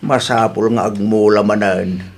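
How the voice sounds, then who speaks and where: A man's voice: fairly low pitch, fairly even intonation, unhurried. A man with a Filipino accent playing a humble, anxious elderly farmer father in an audio drama. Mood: troubled.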